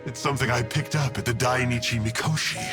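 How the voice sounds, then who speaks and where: A man's voice: medium pitch, fairly even intonation, a little fast, lightly acted. An actor voicing a smug, scheming male villain in a video game, breezy, mocking and theatrical as he toys with his listeners. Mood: breezy, flippant. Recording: music behind the voice.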